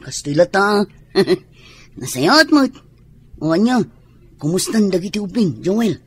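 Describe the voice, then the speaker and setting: A woman's voice: mid-range voice, big pitch swings, a little slow, a little hiss or hum. An actress playing a friendly mother in a radio drama, sociable and welcoming, with an Ilocano accent. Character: Marjo, a warm mother hosting a birthday.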